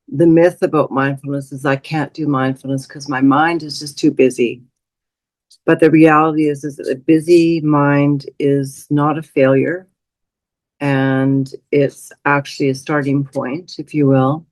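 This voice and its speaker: A woman leading a guided meditation as a teacher, speaking in a soothing, unhurried way with long pauses. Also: sits low, a little mellow, no echo, poor audio.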